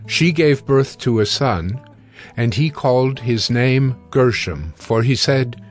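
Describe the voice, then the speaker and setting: Male scripture narrator over soft music. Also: medium pitch, lively intonation, slow pace.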